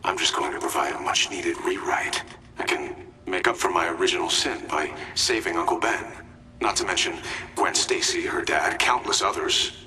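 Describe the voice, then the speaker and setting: An older game villain with a low, sharp-edged, hissing voice. He monologues with calm, condescending grandeur, heard from a distance. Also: normal speed. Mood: grandiose. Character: older villainous man, self-righteous, grandiose would-be savior. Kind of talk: video game villain acting. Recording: distant voice with faint noise.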